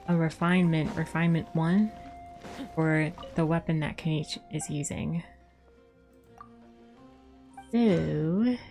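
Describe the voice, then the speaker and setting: Slow-paced, low-voiced woman streamer. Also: warm tone. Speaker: game streamer. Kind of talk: casual live game commentary. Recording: game music behind the voice.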